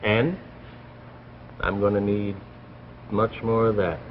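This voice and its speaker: An actor playing a preoccupied, driven scientist husband in a 1950s radio drama, emphatic and halting, repeating himself insistently. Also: male voice, fairly low pitch, level tone. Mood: earnest, needy. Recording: muffled, noisy 1950s radio recording, reverberant.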